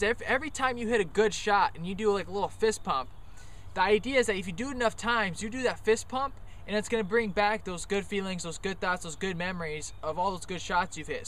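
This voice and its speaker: Young guy with a high voice doing a chill golf lesson outside, jokey and rambling, faint outdoor noise behind. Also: a bit bright. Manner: loose, joking, chatty with many fillers. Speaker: golf coach. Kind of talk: casual golf lesson on the course.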